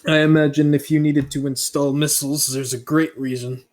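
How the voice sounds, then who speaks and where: A man playing a laconic, obedient hired gun and veteran in a tabletop roleplay, halting, deferential and deadpan. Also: fairly high pitch, voice pushed up, lightly acted. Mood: dry, deferential. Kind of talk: improvised in-character tabletop roleplay.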